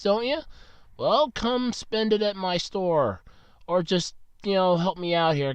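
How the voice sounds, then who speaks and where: A man's voice: high voice, clean tone, no room sound. Sick male podcaster, close on the mic. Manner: frank, grumbling, swears casually. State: sick, losing his voice. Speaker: podcast host. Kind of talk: casual solo podcast talk. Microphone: very close mic, about two inches away.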